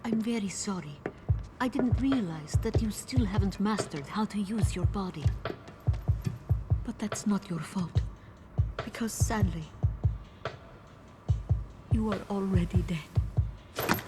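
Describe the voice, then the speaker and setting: An actress voices a calculating female mastermind in a video game cutscene. She is poised and courteous, laying things out formally in a medium-pitched voice. Loud music plays behind her. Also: lightly acted. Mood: coolly apologetic. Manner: formal, composed, deliberate explaining.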